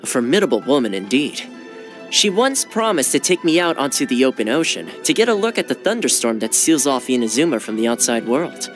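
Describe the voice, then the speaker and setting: A young man playing a friendly, earnest housekeeper retainer in a video game, eager, courteous and expressive. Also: medium pitch, brisk, lightly acted. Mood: sincere, conversational. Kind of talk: video game character voice lines. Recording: studio voice over background music. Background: game menu music.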